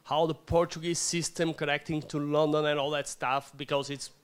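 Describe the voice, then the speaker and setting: A man's voice: on the high side, level tone, moderate pace, clear, resonant tone. Rambling male audience questioner. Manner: rambling, hedging with "like". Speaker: audience member asking a question.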